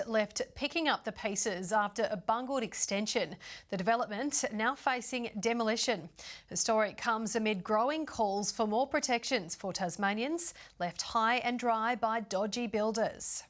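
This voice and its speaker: A woman presenting the news from a studio, reading scripted stories in a crisp, measured way. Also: fairly high pitch.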